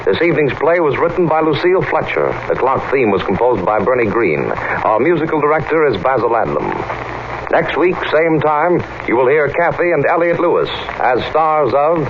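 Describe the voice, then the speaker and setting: A man's voice: medium pitch, sing-song, moderate pace. A man reading the closing credits as a formal radio announcer. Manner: formal, declaiming. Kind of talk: scripted announcement. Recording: dull old radio broadcast with hiss.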